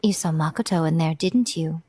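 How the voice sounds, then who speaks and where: A woman's voice: low voice, normal speed, dark tone, slight background noise, natural voice. A woman voicing a playful, wise female priestess in a game, with an elegant, teasing and amused manner. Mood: warm. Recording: game audio with faint music behind.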